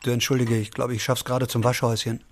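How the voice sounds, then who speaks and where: A man's voice: medium pitch, flat delivery, quick pace, slight background noise, lightly acted. An actor in a German audio drama playing a weary, introverted, ailing man who speaks in a dry, curt way. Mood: awkward, polite. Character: weary, wry, terminally ill man, introverted.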